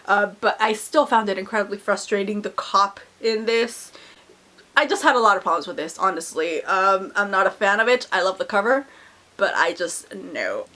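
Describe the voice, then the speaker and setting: A woman's voice: medium pitch, animated, moderate pace, bright, forceful tone. A woman talks through her recent reading in a loose, chatty vlog manner, full of "like" fillers and frank opinions. Her voice is a little nasal and stuffy from a cold she is still getting over. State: recovering from a cold. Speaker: book vlogger. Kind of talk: casual book review chat.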